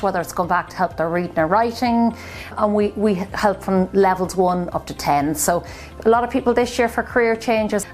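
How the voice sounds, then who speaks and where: A woman, a guidance counsellor, talking in an encouraging, upbeat way. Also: medium pitch. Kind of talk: interview.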